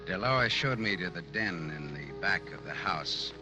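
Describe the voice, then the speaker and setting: An actor playing a wisecracking, world-weary private detective in a wry, deadpan 1940s radio drama manner. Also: male voice, sits low, unhurried. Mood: somber narration. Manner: wry, hard-boiled, deadpan. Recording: old muffled radio recording with music.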